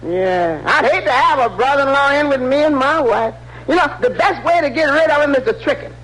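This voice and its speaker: A man's voice: very high voice, animated, fairly quick, fairly bright tone. A man acting a shifty, foolish but confident lawyer in an old radio comedy, with a breezy, folksy way of talking. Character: shifty, foolish, confident male lawyer full of bad advice. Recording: noisy old radio broadcast recording.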